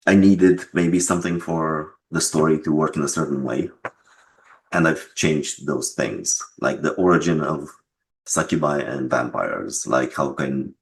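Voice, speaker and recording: Very deep, slow-talking man. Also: slight background noise. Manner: measured, pausing between short phrases. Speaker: game developer being interviewed. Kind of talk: casual explanatory interview answers.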